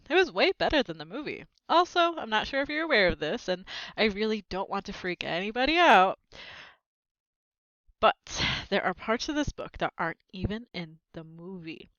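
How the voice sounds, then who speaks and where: A woman's voice: mid-range voice, fairly bright tone, no echo, muffled recording. A woman reading a children's book aloud expressively, breaking in with casual, plain asides to explain the story. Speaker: read-aloud narrator with commentary.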